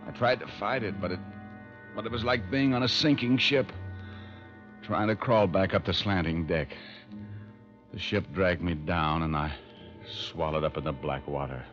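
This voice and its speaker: An actor playing a weary, wry hard-boiled private detective in old radio drama style. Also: male voice, sits low, normal speed, pauses often, a bit bright. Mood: grim, weary. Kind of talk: 1940s-50s radio detective acting, first-person narration. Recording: muffled vintage radio recording, music behind.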